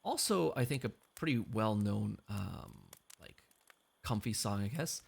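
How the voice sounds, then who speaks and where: A man's voice: fairly low pitch, lively intonation, measured pace, gravelly, breathy edge. A man streaming karaoke, chatting casually between songs, joking and reading chat messages aloud with a playful manner. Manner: joking, reads chat aloud, frequent laughs. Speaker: VTuber streamer.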